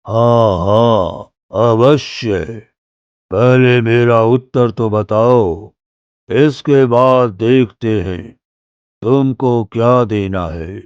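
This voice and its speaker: A man voicing a slow, gloating jungle jinn. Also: medium pitch, a little mellow, clear, resonant tone, dry recording, muffled recording. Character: menacing male jungle jinn.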